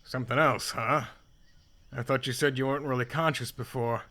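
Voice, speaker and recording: Man acting a bright-voiced, laid-back wry skeleton. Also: medium pitch, steady tone, a little fast, natural voice. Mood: wry, guarded. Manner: casual, dry, needling. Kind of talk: fan comic dub acting.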